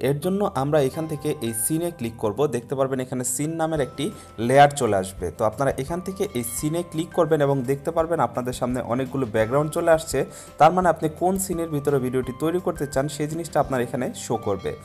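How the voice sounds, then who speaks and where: A man's voice: on the high side, darkish tone. A young man hosting an explanatory tutorial in a step-by-step, instructional way. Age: young adult. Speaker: tutorial host.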